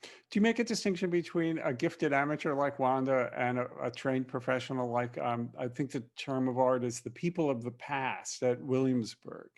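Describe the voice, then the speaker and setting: An American man hosting a conversational interview, with a wry, halting delivery. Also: medium pitch, steady tone. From Reading, Pennsylvania, United States. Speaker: interview show host.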